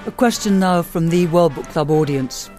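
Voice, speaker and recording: A man hosting a radio book club, reading out listener questions in a measured way. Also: fairly high pitch, darkish tone, soft background music. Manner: reads listener emails aloud, measured. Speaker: radio show host.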